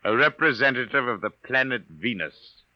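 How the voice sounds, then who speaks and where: A man's voice: mid-range voice, expressive, moderate pace, dry recording, natural voice. A man playing an aloof Venusian of about sixty who speaks formally and imperiously in a 1950s radio drama. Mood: aloof, superior. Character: tall, aloof sixty-year-old male Venusian rescuer. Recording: muffled vintage 1950s radio recording.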